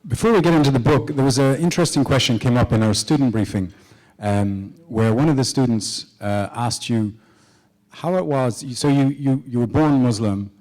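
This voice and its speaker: A man's voice: medium pitch, normal speed, a little mellow, low-quality recording. A man moderating an onstage interview, setting up his questions conversationally and with a little humor.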